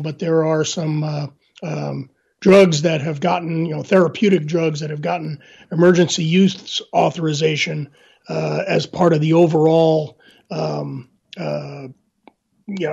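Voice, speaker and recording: A middle-aged man, hoarse after illness, answering interview questions in a halting way with many fillers and restarts. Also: on the high side, fairly even intonation, darkish tone. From Northern Virginia, USA. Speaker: guest. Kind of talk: casual interview talk. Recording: dull lo-fi line.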